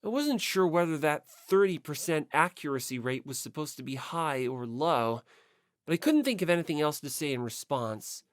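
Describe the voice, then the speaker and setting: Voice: mid-range voice, big pitch swings, moderate pace, no room sound, clean studio sound. An audiobook narrator reading a first-person story expressively.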